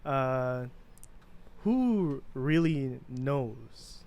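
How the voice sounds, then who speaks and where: A young man co-hosting a casual podcast, talking in an easygoing, drawn-out way and laughing often. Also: medium pitch, expressive, a little mellow. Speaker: podcast co-host. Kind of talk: casual chat.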